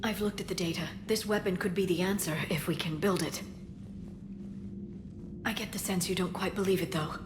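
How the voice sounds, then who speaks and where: An actress playing an earnest, composed scientist aboard a military starship in a video game, speaking in a measured, serious, articulate way. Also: female voice, fairly low pitch, steady tone, background noise, lightly acted. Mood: concerned, earnest. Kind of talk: video game cinematic acting.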